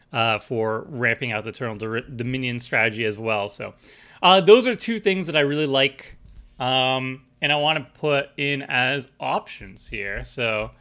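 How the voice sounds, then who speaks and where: Bright-voiced man streaming, a bit stuffy. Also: mid-range voice. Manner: rambling, many fillers and restarts, reads chat aloud. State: stuffy from allergies. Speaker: streamer building a deck with chat. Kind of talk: casual live-stream chat.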